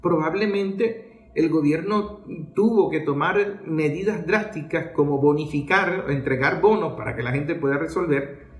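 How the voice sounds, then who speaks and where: A Venezuelan man with a fairly high voice speaks in an echoey room. He delivers political commentary like a lecture, stressing his points through repetition and rhetorical questions. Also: a little slow. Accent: Venezuelan Spanish.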